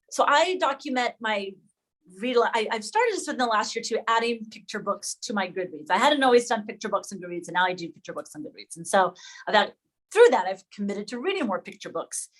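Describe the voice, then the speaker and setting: A woman's voice: mid-range voice, expressive, a little fast, a bit bright. A woman leading an informal online discussion with fellow educators, thinking aloud and often correcting herself as she goes. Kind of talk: informal presentation to colleagues. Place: online video call.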